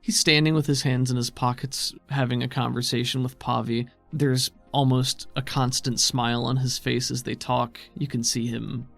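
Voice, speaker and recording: Gravel-edged male game master. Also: medium pitch, level tone, normal speed, hardly any pauses, a bit bright, faint music behind. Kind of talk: tabletop game narration and casual rulings.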